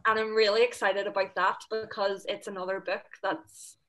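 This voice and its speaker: A woman's voice: medium pitch, level tone, normal speed, fairly bright tone. Chatty Northern Irish young woman. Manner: chatty, filler-heavy, enthusiastic. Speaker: panel guest. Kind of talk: casual video call chat. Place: home room indoors. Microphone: laptop webcam on video call.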